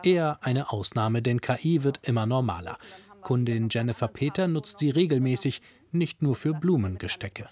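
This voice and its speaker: A man's voice: mid-range voice, lively intonation, normal speed, a little mellow. A man narrating a scripted television news magazine report.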